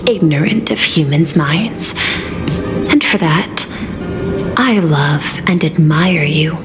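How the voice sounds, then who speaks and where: A woman voicing a calm, calculating machine intelligence in a video game, formal and precise, with a courteous air of superiority. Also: sits low. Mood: formal, assured. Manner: formal, precise, measured diction. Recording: music behind the voice.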